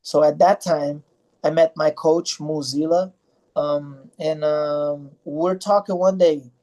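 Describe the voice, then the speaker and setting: A man's voice: a little high, fairly even intonation, slow, a little mellow. A man in his thirties from Brazil, a fighter answering interview questions in a loose, rambling way with many fillers and restarts. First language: Portuguese.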